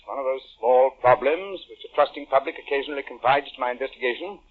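An actor playing a brisk, cheerfully theatrical male detective in a 1940s radio drama, crisp and musing aloud. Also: mid-range voice, natural voice. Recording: dull old radio broadcast.

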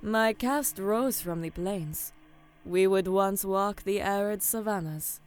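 Woman playing a slow-speaking, formal alien commander. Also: medium pitch, faint music behind, lightly acted. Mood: composed, formal. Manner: formal, measured, terse. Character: female T'au alien military commander, composed and formal. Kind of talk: audio drama acting.

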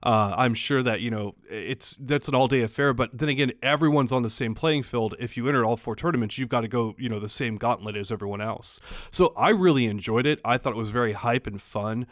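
A man's voice: medium pitch, brisk, dry recording. Upbeat man hosting a podcast, dry sound. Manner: rambling, filler-heavy, upbeat. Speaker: podcast host. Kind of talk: casual podcast chat.

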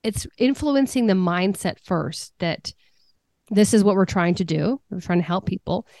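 A woman's voice: medium pitch, moderate pace, creaky, a little mellow, no echo. A woman business coach answering interview questions in an earnest, conversational way, often repeating a word as she starts a thought.

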